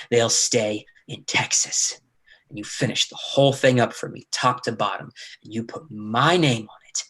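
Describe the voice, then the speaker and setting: An actor reading a domineering, rough older brother in a play, with a husky voice and badgering, slangy speech. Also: male voice, medium pitch, fairly bright tone. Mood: scheming, controlled.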